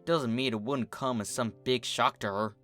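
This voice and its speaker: A narrator voicing an anxious, humble female farm pony who speaks in a halting, folksy way, in a cartoon fan story. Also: medium pitch, steady tone, fairly bright tone, soft background music, close to an everyday voice. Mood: nervous, resigned.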